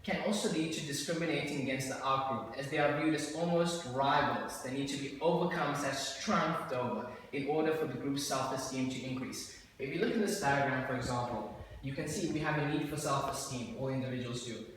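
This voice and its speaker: A man's voice: mid-range voice, fairly quick, a bit bright, slight background noise. A young man presenting in a dim lecture room, explaining a diagram and pointing at the slides. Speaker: student presenter. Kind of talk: class presentation. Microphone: far room mic. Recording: echoey room from far off.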